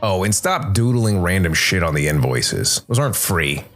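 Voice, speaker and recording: A man puts on an irritable male auto shop supervisor in an audio story. He is curt and nagging, forever scolding, with a low voice that moves around in pitch. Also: very animated, normal speed, a bit bright, soft background music, exaggerated voice. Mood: irritated, scolding.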